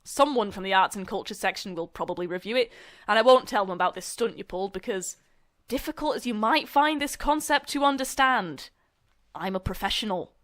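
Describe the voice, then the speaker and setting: A woman's voice: mid-range voice, animated, a little fast, fairly bright tone, natural voice. A young woman acting as a university student in a comedic audio drama. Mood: condescending. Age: young adult. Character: young female student journalists and theatre director sparring.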